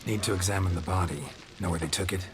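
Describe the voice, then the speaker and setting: Male actor as world-weary monster hunter, low voice, terse, deadpan, fantasy game, music behind. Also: fast, lightly acted. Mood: matter-of-fact. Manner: clipped, dry, deadpan. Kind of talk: fantasy video game dialogue.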